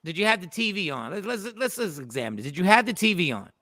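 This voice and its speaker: A man's voice: high-pitched, big pitch swings, quick pace, sharp tone, no echo, studio quality. A man hosting a morning talk show, teasing his co-hosts with mock courtroom questions. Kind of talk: casual radio show banter.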